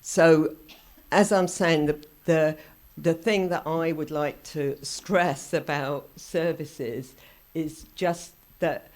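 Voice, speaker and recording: Woman speaker at a conference, reins in a loud voice, self-correcting as she goes. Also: deep voice, fairly even intonation, slow. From England. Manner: loud voice, held down on purpose; thinking aloud, self-correcting, with short laughs. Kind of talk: conference talk. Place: conference room.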